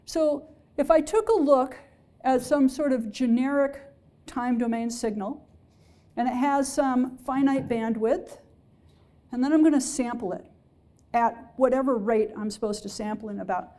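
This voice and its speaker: A woman lecturing on engineering to a public audience in a hall, her voice pitched high yet soft and mellow. She explains patiently, pausing often to ask whether her listeners follow. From Alaska-based. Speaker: engineering professor.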